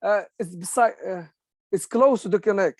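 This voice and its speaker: A man's voice: high-pitched, no room sound, clean studio sound. Hesitant man learning English. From Morocco. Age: 40s. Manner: hesitant, halting, with many fillers. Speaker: adult English student. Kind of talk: casual conversation.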